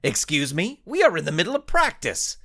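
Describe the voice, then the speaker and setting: A man's voice: a little high, wildly swooping pitch, a little fast, pushed and bright, no echo, clean studio sound, pitched above the performer's own voice, full character voice. A man voicing a haughty male skull-headed villain in a story, formal and easily affronted. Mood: indignant. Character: indignant male skull-headed villain coaching children's soccer.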